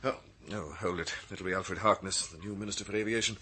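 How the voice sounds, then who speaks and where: A man's voice: low voice, fairly even intonation, quick pace, background noise, lightly acted. A man acting a reasonable, urbane British air security chief with clubby old-boy courtesy in an old-time radio thriller. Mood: doubtful.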